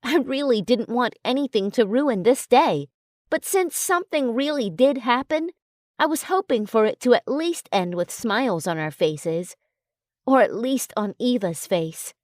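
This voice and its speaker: A woman narrating an audiobook in a calm, even storytelling manner. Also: fairly high pitch, unhurried, no room sound, studio quality. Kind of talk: audiobook narration of a romance novel.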